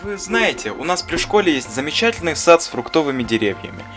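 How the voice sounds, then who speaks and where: A man playing a wise, kindly schoolteacher in an educational cartoon, telling things in a measured, courteous, didactic way. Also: a little high, sing-song. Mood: kindly, reflective. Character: wise, kindly male schoolteacher who loves gardening. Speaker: teacher. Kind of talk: scripted storytelling in an educational cartoon. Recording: music behind the voice.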